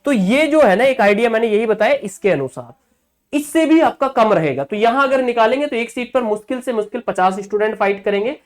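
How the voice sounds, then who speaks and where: A man's voice: extremely high pitch, lively intonation, brisk. A male coaching teacher walks students through an exam-prep lesson. He repeats each key figure and keeps checking that listeners are following. He talks to his viewers in a familiar way, calling them brothers.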